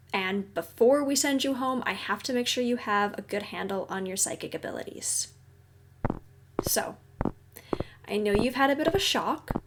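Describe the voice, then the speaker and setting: Female actor as kindly facility agent for audio roleplay: high voice, slow delivery, reassuring and explanatory. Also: background noise. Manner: reassuring, gently explanatory, conversational. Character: kindly, professional woman agent at a secret psychic facility. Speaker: agency guide briefing a newcomer. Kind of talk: audio roleplay, acted monologue to the listener.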